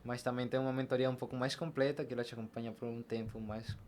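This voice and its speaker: A young Brazilian man speaks as a podcast guest in a medium-pitched voice. He recounts personal experiences casually, slipping into quoted dialogue as he goes. There is noticeable background noise around him. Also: level tone, fast, darkish tone. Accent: Brazilian Portuguese. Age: young adult. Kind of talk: casual personal storytelling in an interview.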